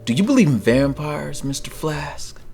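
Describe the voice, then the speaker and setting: Male threatening vampire hunter: theatrical, taunting, very lively pitch, faint music bed. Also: normal speed, gravelly. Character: menacing male vampire slayer with a gun. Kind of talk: radio play dialogue. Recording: music bed under voice, amateur audio.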